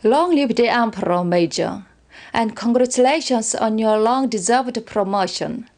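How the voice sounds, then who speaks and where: A woman's voice: fairly high pitch, a little slow, darkish tone. A woman playing a loyal, formal weapons scientist of an empire, giving orderly briefings in a game cutscene. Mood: formal, dutiful.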